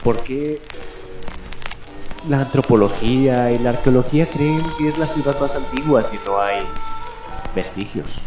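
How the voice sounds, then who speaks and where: An echo-laden male voice of medium pitch speaks over clearly audible music. He hosts as a 1940s radio commentator, musing in a halting, reflective way. He often stops to put rhetorical questions to the listener. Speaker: radio show host in character.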